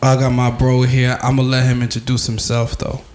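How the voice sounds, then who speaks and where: A young man hosts his podcast, bragging and loose, with plenty of slang and fillers. His delivery stays flat and even in pitch. Faint background noise sits under the voice. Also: moderate pace. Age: young adult. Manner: boastful, slangy, lots of fillers. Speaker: podcast host. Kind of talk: casual interview chat.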